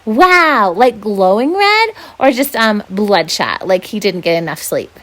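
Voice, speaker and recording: A woman's voice: mid-range voice, big pitch swings, a little slow, fairly bright tone. Woman voicing an eager, blurting sixth-grade boy. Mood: curious. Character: Mac, impulsive, curious, bitter-edged sixth-grade boy. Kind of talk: children's novel read-aloud.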